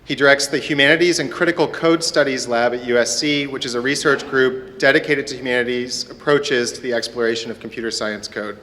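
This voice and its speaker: A man serves as a conference moderator, introducing speakers from prepared notes. His voice sits at a middle pitch. It rings with the long reverb of a large hall. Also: brisk, hardly any pauses, sharp tone, a little hiss or hum. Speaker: panel moderator. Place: conference hall at a university symposium.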